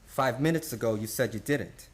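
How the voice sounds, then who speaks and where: A man's voice: mid-range voice, moderate pace, close to an everyday voice. A man acting a pompous, exasperated prosecutor with formal oratory in a radio play. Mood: formal, indignant.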